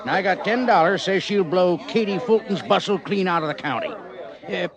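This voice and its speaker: A man's voice: sits high, animated, moderate pace, dull audio. An actor playing a chatty, folksy frontier townsman with a drawling American Western accent in a radio western. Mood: gossipy. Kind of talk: old-time radio western acting. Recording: old radio recording with crowd.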